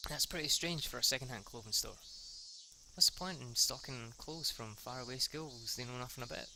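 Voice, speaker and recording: A man reading a visual novel aloud in a halting, informal, sweary way, with casual asides. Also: mid-range voice, vocal fry, background noise. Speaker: amateur reader of a visual novel.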